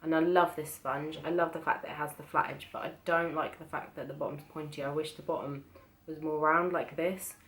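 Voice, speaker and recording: A British woman with a croaky voice from being ill, chatting casually through a beauty haul with lots of hesitant restarts. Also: low voice, steady tone, brisk, a bit bright. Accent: British English. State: croaky from illness. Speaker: beauty vlogger. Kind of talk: casual product haul chat.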